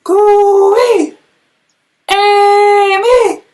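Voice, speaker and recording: A man's voice: very high-pitched, flat delivery, extremely slow, darkish tone, pitched above the performer's own voice, full character voice. A man voicing an eager, earnest young boy from a picture book, insistent and calling out. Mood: loud calling.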